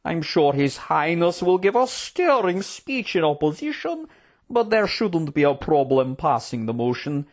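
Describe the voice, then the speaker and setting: An obsequious, scheming courtier from a fantasy storybook, acted out by a narrator, pitched up from natural speech. The talk is wordy and formal, politely cutting and always selling something. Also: sing-song, unhurried. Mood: smoothly assured.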